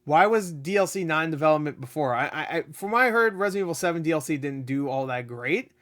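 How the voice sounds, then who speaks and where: Excitable man rambling a casual review. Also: fairly high pitch, fast talker. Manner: excitable, rambling, laughs often, swears. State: voice getting raspy. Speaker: gaming video host.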